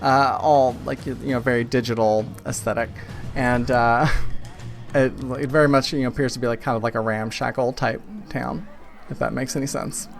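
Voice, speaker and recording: A man improvising tabletop game narration as game master, halting as he searches for his words. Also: medium pitch, steady tone, vocal fry. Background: music.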